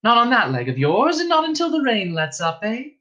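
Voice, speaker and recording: A man's voice: very high-pitched, huge pitch swings, fairly quick, bright, forceful tone, voice pushed up, heavily acted. A man voicing a scheming, motherly innkeeper's wife who coos and coaxes with false sweetness. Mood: cooing, falsely sweet. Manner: coaxing, wheedling, sweetly sinister.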